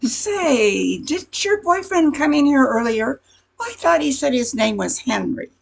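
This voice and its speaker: A woman's voice: sits high, big pitch swings, unhurried, vocal fry, full character voice. A woman playing a brash, touchy diner waitress in a radio comedy play, tough-talking with slangy grammar. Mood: gruff.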